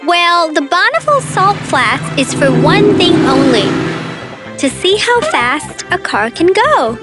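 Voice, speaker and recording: A curious young girl from a children's cartoon. The voice is very high and swings widely in pitch. She speaks at a slow, cheerful pace. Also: sing-song, music in the background, full character voice. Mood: bright.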